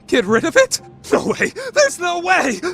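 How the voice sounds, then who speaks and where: A man's voice: extremely high pitch, wildly swooping pitch, a little slow, creaky, fairly bright tone, soft background music, heavily acted. A man playing a proud but panicky teenage student council president in an anime radio play, melodramatic in delivery. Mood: panicked, exasperated. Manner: melodramatic, swinging from grave to yelping. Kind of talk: anime fan dub radio play acting.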